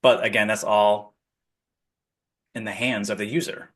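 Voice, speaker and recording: A man's voice: fairly low pitch, fairly even intonation, measured pace, fairly bright tone. A man hosting a casual spiritual interview podcast, enthusiastic, laughing often and repeating his affirmations. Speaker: podcast host.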